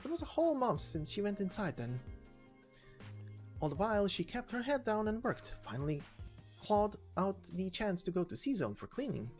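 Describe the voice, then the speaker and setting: A woman's voice: medium pitch, sing-song, normal speed, darkish tone, faint music behind, voice pushed up, close to an everyday voice. A woman voicing playful, conversational characters who banter lightly in a video game story reading. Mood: playful, curious. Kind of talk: voiced visual novel story reading.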